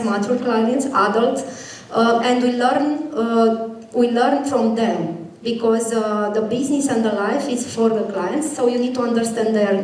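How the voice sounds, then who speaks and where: A woman speaking as a bank executive on a conference panel, halting and searching for words. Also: fairly high pitch, a little mellow, a little hiss or hum. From Romania. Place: conference stage. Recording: echoey conference hall.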